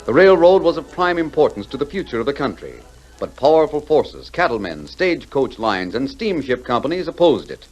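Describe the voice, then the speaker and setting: A man's voice: mid-range voice, sing-song, fairly quick, rough recording. A man narrating a 1940s radio western as announcer, in a dramatic, declamatory way. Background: music.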